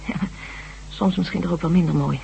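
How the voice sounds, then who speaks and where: A woman's voice: lowish voice, steady tone, brisk, creaky, warm tone, a little hiss or hum. An actress playing an earnest, wistful woman survivor of an ancient people in a Dutch radio drama. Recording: dull, humming old radio recording.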